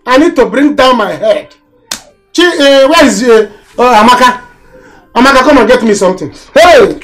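A woman's voice: a little high, animated, normal speed, soft background music, natural voice. A Nigerian woman acting a self-important, worked-up character in a screen drama, dramatic and repetitive. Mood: agitated.

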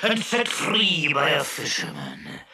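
A man voicing an enormous, wrathful genie in a storybook, with a booming, gravelly voice and slow, theatrical bellowing. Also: wildly swooping pitch, bright, forceful tone, exaggerated voice. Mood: bitter. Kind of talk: children's storybook character voice. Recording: echoing, distant-sounding reverberant voice.

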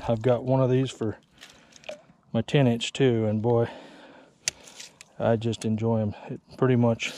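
Relaxed, mellow-voiced man talking through his gear on a frozen lake; body-cam sound with light wind hiss. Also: medium pitch. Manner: easygoing, plainspoken, self-deprecating. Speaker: ice fishing vlogger. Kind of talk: casual how-to narration. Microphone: action camera worn on body.

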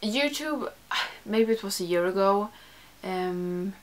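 A woman's voice: medium pitch, expressive, takes it slowly. A young woman talks straight into her camera from a small room lined with makeup shelves. She speaks English as a second language and her talk is casual and rambling. She often stops mid-sentence and starts it over. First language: not English. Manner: rambling, self-correcting, frequent "like". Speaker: beauty YouTuber. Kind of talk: casual chat to camera, answering a tag.

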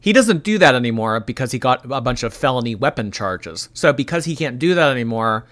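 Lively nasal young man streaming. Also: medium pitch, a little fast. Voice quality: a little nasal. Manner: animated, laughing, reacting to chat. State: slightly stuffy nose. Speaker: live stream host. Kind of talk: casual live stream chat reading donations.

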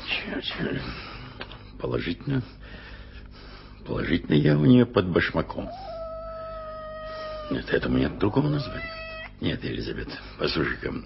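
A man's voice: deep voice, vocal fry, slight background noise, full character voice. An actor playing a kindly, flustered older male journalist in a 1980s radio play, coaxing and muttering to himself. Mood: exasperated. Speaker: lead character in a radio play. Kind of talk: 1980s radio drama acting. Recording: lo-fi archival radio recording with music.

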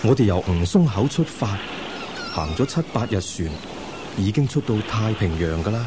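A man's voice: lowish voice, big pitch swings, moderate pace, noisy background. A man in a radio drama playing a dignified middle-aged official, an indulgent husband. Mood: patient, explaining. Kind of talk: Cantonese radio drama acting. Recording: radio broadcast with music underneath.

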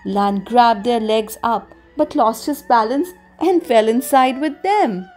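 A woman with a fairly high voice reads a children's story aloud. Her phrasing is expressive and deliberate, and music plays behind her. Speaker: storybook reader. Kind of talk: scripted children's story narration.

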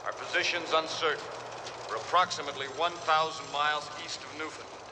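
Male actor as young aircrew pilot, higher-set voice, terse and pressured, mid-century suspense style, noisy drone behind. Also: steady tone, fairly quick, lightly acted. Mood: tense, formal. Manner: terse, urgent military delivery. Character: young military pilot under strain in a crisis. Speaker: actor playing an aircrew member. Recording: noisy vintage drama soundtrack, engine drone.

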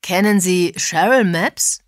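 A woman's voice: lowish voice, slow pace, dry recording. Composed male enquirer, read by a woman: direct, firm, raised voice, audiobook dialogue, dry sound. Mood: firm, calling out. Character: composed man making firm enquiries in a nightclub.

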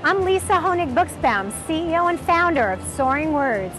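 A middle-aged woman hosts on location with a handheld mic, bubbly and full of exclamations. Her voice sits high, and music plays clearly beneath her. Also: sing-song, moderate pace. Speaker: host and interviewer. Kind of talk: enthusiastic on-location hosting. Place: outdoors on a city street and inside an exhibit. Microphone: handheld microphone.

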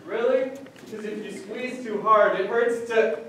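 A man's voice: high voice, unhurried, a bit bright. A young man on stage playing a sheepish, good-natured sitcom husband in an earnest, theatrical style. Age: young adult. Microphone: far camera. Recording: very echoey noisy theater, distant camera.

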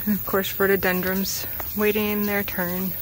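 A woman's voice: medium pitch, little pitch movement, a little slow, slight background noise, rough recording. A woman vlogger chatting casually as she walks a wooded trail outdoors, pointing things out as she goes. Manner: chatty, easygoing, points things out while walking. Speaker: hiking vlogger.

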